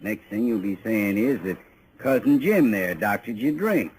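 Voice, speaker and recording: A man's voice: lowish voice, expressive, moderate pace, darkish tone, lightly acted. A man playing a menacing small-town Western villain in 1950s radio drama style, with a folksy, goading drawl. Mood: smug, taunting. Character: taunting, menacing male small-town Western outlaw sheriff. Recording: noisy vintage radio drama recording.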